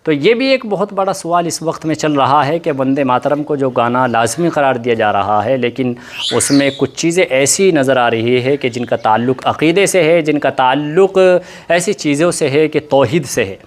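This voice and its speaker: A man's voice: medium pitch, moderate pace. A man presenting news commentary in formal, Urdu-heavy diction, repeating points for emphasis. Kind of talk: news commentary mixing report and opinion.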